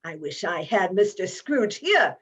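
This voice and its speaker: A woman playing a sharp-tongued, loyal Victorian wife, pointed and sardonic, in a dramatic reading. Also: mid-range voice, very animated, unhurried, slightly rough. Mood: indignant. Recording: slightly dull video-call audio.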